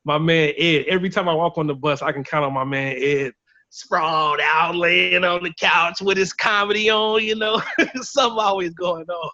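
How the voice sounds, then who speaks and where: Higher-voiced man, lo-fi video call. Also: normal speed, dry recording. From Illinois, USA. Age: 30s. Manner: relaxed, chatty storytelling with laughs. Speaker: band member on a video chat. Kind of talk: casual chat. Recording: dull lo-fi video call audio.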